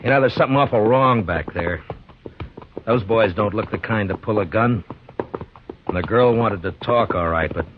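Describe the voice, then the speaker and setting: An American actor playing a calm, duty-bound frontier marshal in a 1950s radio western, measured and understated. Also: male voice, fairly low pitch, background noise, natural voice. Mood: calm, firm. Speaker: lead actor and narrator of the story. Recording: dull vintage 1950s radio recording, music.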